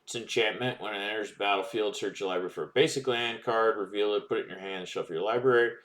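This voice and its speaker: Man with a deep voice, relaxed unboxing chatter, reads card text aloud, lots of ums and uhs. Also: fast. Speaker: card-opening streamer. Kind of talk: casual card-by-card commentary while opening packs.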